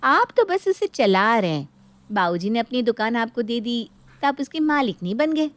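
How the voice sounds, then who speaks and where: A woman's voice: a little high, very animated, moderate pace, fairly bright tone. A woman voicing a greedy, bossy younger sister in a dramatized moral story, taunting and mock-polite as she orders her family about. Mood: smug, condescending.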